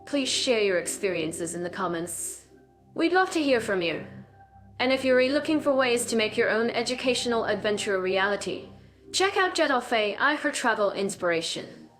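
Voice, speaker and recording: A woman's voice: a little high, expressive, moderate pace, a bit bright, soft background music. A woman co-hosting a scripted podcast, chatting in an upbeat way with bubbly little interjections. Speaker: podcast co-host.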